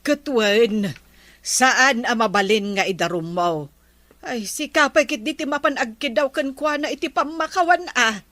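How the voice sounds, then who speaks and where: Woman playing a scolding Ilocano villager, higher-voiced. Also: a little slow, a bit bright, no echo. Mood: urging. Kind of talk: Ilocano comedy audio drama acting.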